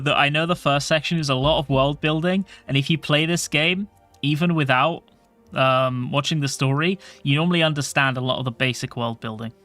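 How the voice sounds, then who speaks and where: Soft-voiced man streaming casually. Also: on the high side, dry recording. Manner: rambling, chatty, swears casually. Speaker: game streamer. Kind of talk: casual livestream chat.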